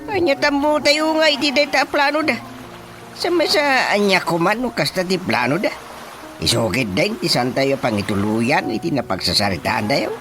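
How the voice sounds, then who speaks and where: An actor playing an opinionated villager in an animated radio comedy, with an Ilocano accent and music behind him. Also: male voice, sits high, normal speed, noisy background. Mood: argumentative.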